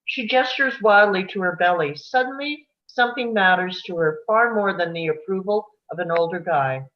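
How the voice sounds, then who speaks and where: A woman's voice: mid-range voice, normal speed, highs cut off. A woman reading stage directions as scripted narration at a live table read.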